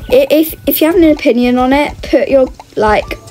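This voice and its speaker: A woman's voice: high-pitched, normal speed, warm tone. A woman giving casual, chatty gameplay commentary, exclaiming and thinking aloud as she plays. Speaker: gaming video host. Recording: music under the voice.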